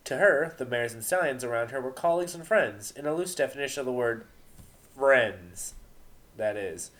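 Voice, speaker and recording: A man's voice: medium pitch, animated, moderate pace, fairly bright tone. Man giving a dramatic story narration.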